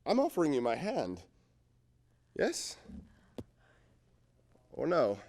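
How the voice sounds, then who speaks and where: An actor playing an impulsive, lovesick landowner who stammers and swings between shouting and murmuring on stage. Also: male voice, on the high side, huge pitch swings, slow, heavily acted. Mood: smitten. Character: lovesick blustering male landowner, impulsive and excitable. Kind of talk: stage play performance.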